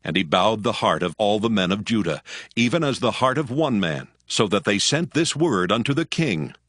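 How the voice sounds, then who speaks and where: A man narrating dramatized scripture in a measured, storytelling manner. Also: on the low side, animated, fairly bright tone, no room sound, clean studio sound. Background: music.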